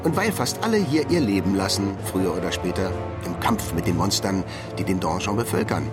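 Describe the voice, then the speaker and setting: An actor playing a boastful but cowardly, babbling duck with dreams of heroism in a comic fantasy radio drama, theatrical in delivery. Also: male voice, deep voice, expressive, fairly quick. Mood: grandiose. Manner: theatrical, stammering when pleading. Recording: music behind the voice.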